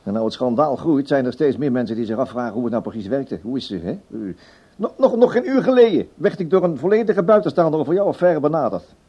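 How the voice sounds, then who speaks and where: An actor playing a brusque, gossipy, busy broadcasting boss in a radio drama, husky-voiced, chatty and dismissive. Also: male voice, medium pitch, sing-song, quick pace, mellow. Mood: reproachful. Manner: dismissive, chatty, repeats himself. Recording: dull old radio drama recording.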